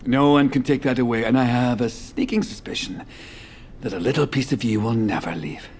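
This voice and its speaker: An actor playing a controlling, smooth wealthy boss in an audio drama, speaking with measured, condescending authority. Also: male voice, medium pitch, darkish tone, slight background noise, close to an everyday voice.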